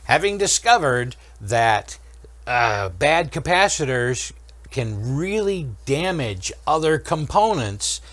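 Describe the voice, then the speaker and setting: A man's voice: mid-range voice, big pitch swings, slow, a bit bright, no room sound. Male repair-channel host, mid-range voice, informal step-by-step technical explainer, dry close recording. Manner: explains step by step, checking in with okay and all right.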